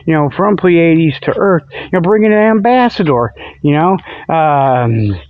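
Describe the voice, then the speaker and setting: Folksy rambling man, slightly high, lo-fi sound. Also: lively intonation, measured pace, dry recording. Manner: folksy, meandering, repetitive. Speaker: radio show host. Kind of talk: rambling comic solo monologue. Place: outdoors on a walk before dawn. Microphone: budget tablet held in hand. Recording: dull lo-fi tablet recording.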